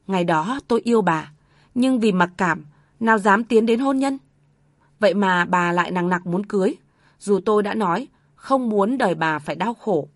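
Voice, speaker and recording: A woman voicing an elderly father and former soldier who speaks calmly with heavy sorrow. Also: medium pitch, no echo, studio quality. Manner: calm, heavy, measured.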